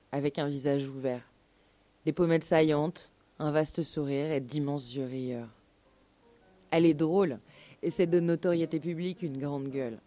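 Woman reading a radio documentary story, soft mellow tone, bit of music under it. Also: sits low. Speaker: narrator. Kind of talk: scripted documentary storytelling, performed live for radio.

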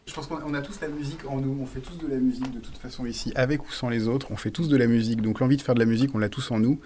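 A man in a rock band, answering interview questions in a relaxed, joking way. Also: mid-range voice, racing, a little hiss or hum. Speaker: band member being interviewed.

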